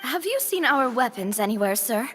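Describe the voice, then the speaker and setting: A woman voicing an excitable, feisty young girl adventurer in a fantasy game, with expressive, reactive delivery. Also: a little high, moderate pace, noisy background. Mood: polite.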